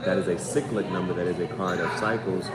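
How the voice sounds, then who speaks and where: Male tarot livestream host, lower-pitched, casual and emphatic with repeated phrases, loud crowd-like background noise. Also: fairly even intonation, fast. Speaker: tarot reader hosting a livestream. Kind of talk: casual live tarot reading, part read aloud and part improvised.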